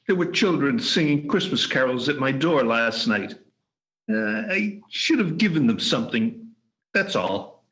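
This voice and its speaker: Man as an old miser, halting and hesitant, lively high voice, radio play. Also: creaky, exaggerated voice, rough recording. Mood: wistful. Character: old miser, softening and remorseful, male. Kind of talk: amateur radio play reading. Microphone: video call.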